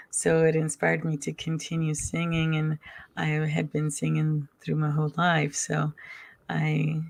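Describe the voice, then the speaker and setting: A soft-spoken woman guest on a video call, telling stories about her life in a casual, hesitant way. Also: low voice, vocal fry. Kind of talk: casual storytelling chat.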